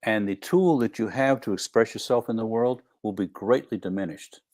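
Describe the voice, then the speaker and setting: A man's voice: fairly low pitch, normal speed, a little mellow. A man speaking as a guest doctor in a live discussion, explaining things in emphatic, declarative statements.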